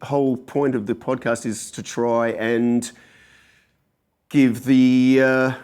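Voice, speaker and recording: A man hosting a podcast, his voice a little croaky after a gig, guiding the guest with friendly questions. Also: mid-range voice, little pitch movement, takes it slowly, darkish tone. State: slightly croaky voice. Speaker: podcast host and gigging musician. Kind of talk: casual interview.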